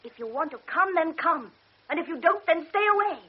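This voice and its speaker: An actress playing a proud, sharp-tongued invalid young woman who speaks intensely and reproachfully in a classic radio drama. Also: very high-pitched, animated. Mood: reproachful, angry. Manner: intense, reproachful, quick to flare. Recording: dull old radio recording.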